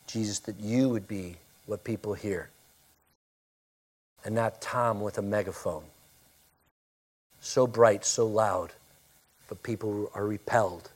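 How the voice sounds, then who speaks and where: A male pastor preaching a sermon and praying, with a loud, forceful voice, emphatic when preaching and hushed in prayer. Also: fairly low pitch, fairly even intonation, slow, long pauses.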